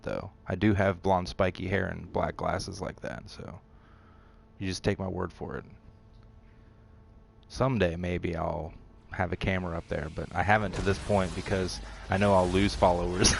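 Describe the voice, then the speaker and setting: A man's voice: low-pitched, vocal fry, a bit bright, a little hiss or hum. A man giving rambling, self-deprecating commentary while streaming a video game. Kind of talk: casual gameplay commentary.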